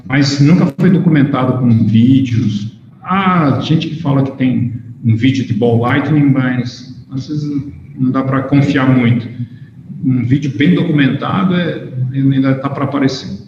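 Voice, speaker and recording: A man's voice: medium pitch, measured pace, darkish tone. Brazilian man lecturing in an echoey hall. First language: Portuguese. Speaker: researcher giving a lecture. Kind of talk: informal lecture with questions and answers, switching between Portuguese and English. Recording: echoey hall, dull sound, faint noise.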